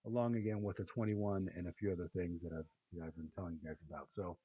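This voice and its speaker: A man giving casual chart analysis with a raspy, tired voice, rambling with many fillers and restarts. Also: low voice, little pitch movement, fast. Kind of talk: casual screen-share market analysis. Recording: tinny phone-like sound.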